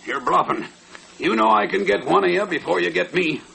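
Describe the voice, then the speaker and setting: Menacing cowboy villain, heavy gravel, sharp forceful tone, Western American accent, old radio recording. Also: male voice, sits high, fairly quick, heavily acted, rough recording. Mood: threatening. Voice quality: gravelly, tense. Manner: menacing drawling tough talk. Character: surly, threatening Old West outlaw gunman.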